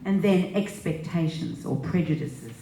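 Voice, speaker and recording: A woman reads scripted narration on stage. Her voice is deep and gravelly, her pace slow, and the room echoes around her. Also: lively intonation, warm tone. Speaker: stage reader. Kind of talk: dramatic reading, scripted narration. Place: live stage reading indoors. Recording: roomy, echoing stage sound.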